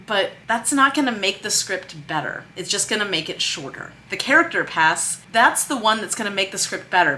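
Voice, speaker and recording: A woman's voice: medium pitch, pushed and bright. A woman talking casually and emphatically, thinking aloud as she explains her work in a vlog. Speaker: screenwriter and vlog host. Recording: noticeable background noise.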